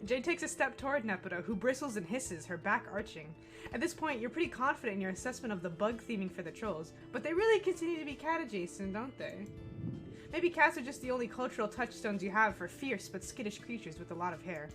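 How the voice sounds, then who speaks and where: Woman, gentle texan accent, streamer reading game text, profane, joking, music behind. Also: a little high, expressive, quick pace. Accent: mostly American with a gentle Texan accent. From Texas, US. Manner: profane, joking, laughs often. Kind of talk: reading a visual novel aloud on stream.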